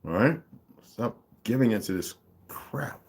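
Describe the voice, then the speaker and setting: A man's voice: on the low side, expressive, a little slow, husky. A man hosting his own channel, talking through his views in a casual monologue, with emphatic asides and listing figures aloud. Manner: emphatic, mocking interjections; reads figures aloud, asks listeners to follow along. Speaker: channel host.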